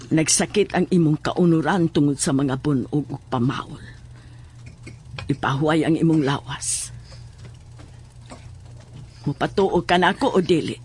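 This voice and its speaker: A woman's voice: low voice, a little slow, long pauses, a little hiss or hum. A woman with a Filipino accent playing a protective, tender but firm mother in an audio drama. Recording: loud music under the voice. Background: loud music.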